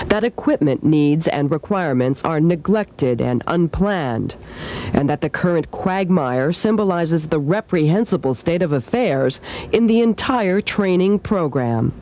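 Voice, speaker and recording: A woman investigative TV reporter narrating a news story in a measured, pointed way. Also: lowish voice, darkish tone, a little hiss or hum.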